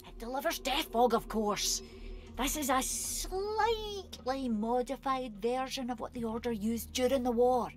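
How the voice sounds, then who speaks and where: A jittery, defensive tinkerer woman who guards her work, read aloud by a woman during a co-op game. The voice sits a little high, and soft game music plays underneath. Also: measured pace. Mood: guarded. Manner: reading game dialogue aloud in character. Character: defensive, nervous woman tinkerer fixing a weapon. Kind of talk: co-op game playthrough.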